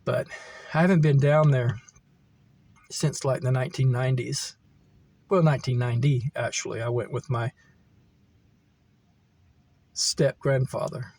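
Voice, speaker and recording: A middle-aged American man reminiscing casually, in a halting, meandering way as he thinks aloud. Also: medium pitch, steady tone, a little mellow, slight background noise. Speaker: vlogger telling personal stories. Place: camper van.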